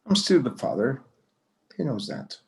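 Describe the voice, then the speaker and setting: A man playing a gentle, courteous older rancher and father in a screenplay table read, speaking in a polite, measured way. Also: medium pitch, big pitch swings, vocal fry. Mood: earnest.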